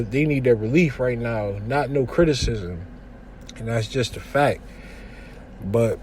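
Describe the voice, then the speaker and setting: A young man from hosting a casual solo podcast from his kitchen, giving news commentary in a relaxed, slangy way with lots of shout-outs. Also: mid-range voice, slight background noise. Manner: laid-back slangy talk with frequent shout-outs. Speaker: podcast host.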